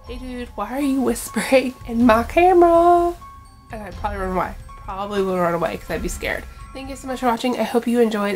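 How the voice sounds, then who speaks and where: Casting note: young female vlogger with a low voice, casual and chatty, faint music underneath. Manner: chatty, retelling events with frequent "like" and "I'm like". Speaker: paranormal vlogger. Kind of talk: casual vlog talk to camera. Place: bedroom indoors. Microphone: camera facing her at desk distance.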